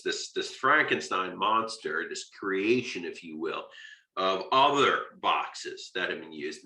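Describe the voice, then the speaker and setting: A man's voice: on the low side, sharp tone. A man giving an informal, conversational literature lecture, explaining a story step by step and often checking in with "right?". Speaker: literature teacher. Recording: roomy, echoing sound.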